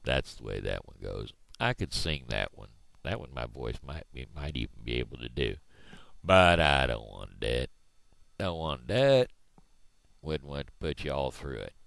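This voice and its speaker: Man, fifties, folksy drawl, rambling, very deep and gravelly, lively pitch swings, dry headset sound. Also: measured pace, no echo. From Missouri, USA. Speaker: video blogger. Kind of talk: casual rambling monologue. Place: small room indoors. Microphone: headset microphone.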